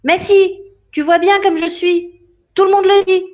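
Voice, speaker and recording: A woman voicing an angry, tearful young girl with a high, pleading voice in a storybook reading. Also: fairly even intonation, a little slow, darkish tone, dull audio.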